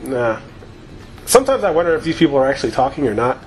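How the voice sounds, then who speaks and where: A man chatting casually and with plenty of swearing as he plays, wandering from point to point. His voice is a touch hoarse from talking too long, sitting at an everyday middle pitch. A faint hiss runs under the home recording. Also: big pitch swings, fast, low-quality recording. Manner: loose, profane, rambling. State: slightly hoarse. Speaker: gaming YouTuber. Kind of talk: casual gameplay commentary.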